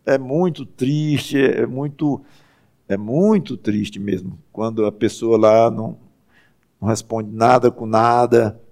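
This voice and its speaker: A man, preaching a conversational sermon as a pastor, halting and restarting often and slowing down for emphasis. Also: medium pitch, a little mellow.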